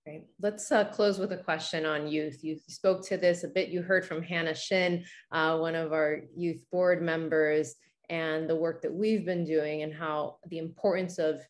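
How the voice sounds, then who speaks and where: A woman's voice: low-pitched, steady tone, measured pace. A middle-aged woman hosting a formal online event as moderator, speaking over a video call. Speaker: host, moderator. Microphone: video call computer mic.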